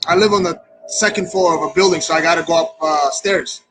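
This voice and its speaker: A man's voice: a little high, brisk, a bit bright, husky, low-quality recording. A young man from Kenya chatting casually and earnestly as an interview guest. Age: young adult. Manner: earnest, informal. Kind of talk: casual chat.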